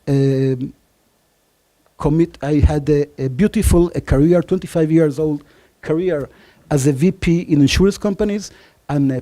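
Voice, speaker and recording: A man's voice: fairly high pitch, warm tone. Argentine-born man with Israeli-Spanish accent. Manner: halting, many fillers, self-joking. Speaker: startup founder pitching. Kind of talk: conference pitch.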